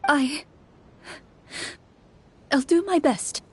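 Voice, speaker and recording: Woman acting a high-voiced, anxious townswoman. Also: drawn-out words. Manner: hesitant, anxious, polite. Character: worried, grateful townswoman, a soldier's wife.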